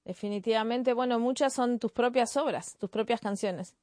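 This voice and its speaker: Female radio host, slightly high voice, conversational interview delivery, very dry studio-like sound. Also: normal speed, a little mellow, no echo.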